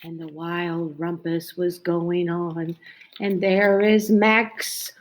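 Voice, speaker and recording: A woman reading a children's picture book aloud in a dramatic, expressive way, stretching out phrases and dropping into hushed tones. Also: fairly low pitch, slow pace. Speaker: storybook reader.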